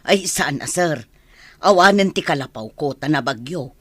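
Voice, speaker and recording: An actress plays a cheeky, chatty housemaid in a comedy radio play. The voice is excitable and comic, swinging widely in pitch, with an Ilocano accent and a dry studio sound. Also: female voice, normal speed, breathy edge, dry recording, full character voice. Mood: flustered.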